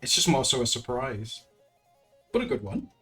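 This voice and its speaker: A man's voice: medium pitch, very animated, moderate pace, pushed and bright, husky, faint music behind. A man chatting casually with his viewers during a live art stream, chatty and giggly, often laughing and restarting his sentences. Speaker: livestreamer. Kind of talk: casual livestream chat with viewers while drawing.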